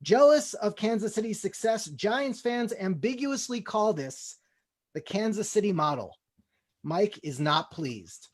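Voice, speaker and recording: A man's voice: sits high, expressive, unhurried. A man acting as host and narrator of a comedy table read, in a mock-formal style.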